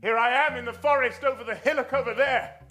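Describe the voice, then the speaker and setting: A man's voice: very high-pitched, fairly even intonation, a little fast, pushed and bright, faint music behind. A man playing an earnest, put-upon young hero in a live comic pantomime. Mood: earnest alarm.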